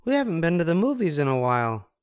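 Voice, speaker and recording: A man putting on a high, lilting mama bear. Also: sing-song, quick pace, clear, resonant tone. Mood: agreeable. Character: gentle motherly mama bear, patient but firm.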